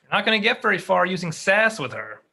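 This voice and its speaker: A man playing a goofy, eager husband who relays his wife's words loudly in a comedy table read. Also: sits high, fast, vocal fry, full character voice. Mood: loud, smug. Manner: loud, animated.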